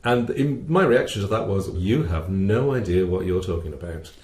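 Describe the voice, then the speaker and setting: A middle-aged man presenting a webinar as a vocal coach, explaining step by step in a measured way, posing questions and answering them. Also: low voice, animated, a little mellow. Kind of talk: explanatory teaching talk with a co-presenter. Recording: roomy, reverberant sound.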